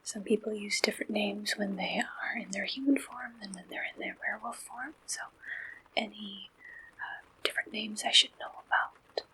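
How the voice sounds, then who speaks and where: A woman doing a gentle, polite ASMR roleplay as a friendly, helpful service clerk, speaking in soft whispers. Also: mid-range voice, fairly even intonation, normal speed, slight background noise, low-quality recording. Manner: gentle, polite, attentive customer-service way of talking. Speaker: ASMR performer playing a dating service receptionist.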